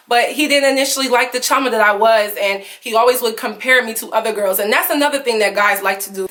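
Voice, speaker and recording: A young woman vlogging candid relationship advice in an earnest, confiding way, full of fillers, with a lower voice for a woman and emotion close to tears. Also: a bit bright.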